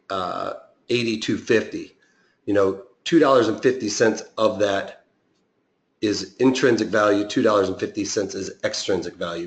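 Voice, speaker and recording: A man with a raspy voice explaining trading strategy in a live online lesson, often pausing with fillers and restarting his sentences. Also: on the low side, roomy sound. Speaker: options trading instructor.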